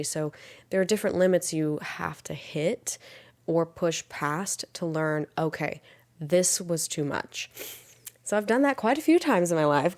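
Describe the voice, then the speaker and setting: A young woman talks through her solo podcast in a loose, rambling way, full of fillers and small laughs. Her voice sits a little low for a woman and sounds stuffy and congested, as if her sinuses are blocked. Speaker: podcast host. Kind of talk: casual solo podcast chat.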